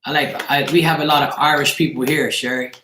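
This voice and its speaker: A man's voice: a little high, fairly even intonation, brisk, pushed and bright. A man, chatting casually on a livestream in a blunt, joking, informal way. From Bermuda. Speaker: livestream tarot reader. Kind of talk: casual livestream chat with viewers. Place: living room, indoors. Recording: echoey room with faint noise, clicks.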